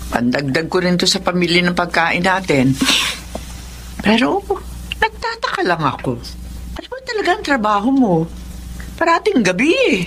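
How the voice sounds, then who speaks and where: A woman's voice: fairly low pitch, big pitch swings, moderate pace, long pauses, a bit bright, slight background noise. An actress playing a chatty, trusting middle-aged Filipino mother in a radio drama. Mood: curious, grateful. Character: grateful, trusting, chatty mother of a poor family.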